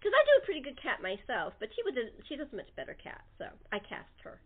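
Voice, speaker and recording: A woman with a fairly high voice hosts a casual interview. She talks in a chatty, jokey, rambling way. The sound is thin and boxed-in, like a telephone call. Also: wildly swooping pitch, fast talker, breathy edge. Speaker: podcast host and theater producer. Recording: narrow, tinny phone-line sound.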